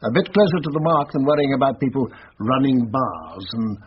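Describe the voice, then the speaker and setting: An actor playing a businesslike, affable colonel leading an investigation in a radio drama. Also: male voice, mid-range voice, animated, a little fast, natural voice. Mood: brisk, businesslike. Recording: dull radio recording, faint noise.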